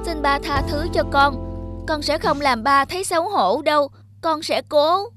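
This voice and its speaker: A woman voicing an earnest, apologetic schoolboy who is eager to please, pleading in an animated drama. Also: high voice, measured pace, soft background music. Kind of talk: animated moral drama acting.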